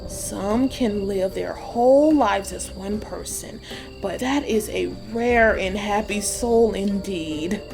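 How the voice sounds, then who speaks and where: A woman playing an elderly, knowing witch in a dark fairy tale audiobook, speaking in measured, cryptic and solemn storytelling. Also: mid-range voice, gravelly. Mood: cryptic, calm. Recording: music behind the voice. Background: music.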